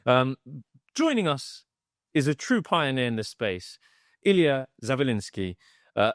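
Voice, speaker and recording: A man's voice: mid-range voice, no room sound, studio quality. A man hosting a podcast interview, enthusiastic and full of fillers. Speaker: podcast host.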